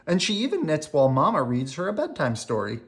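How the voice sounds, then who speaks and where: A storyteller reading a children's picture book aloud in a deliberate, animated way, as for bedtime. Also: mid-range voice.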